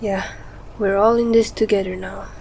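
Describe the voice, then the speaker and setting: A woman playing a protective, steady mother fleeing a war in a radio drama, instructing and reassuring. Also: mid-range voice, moderate pace, creaky, a little hiss or hum, natural voice, low-quality recording. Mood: calm, firm.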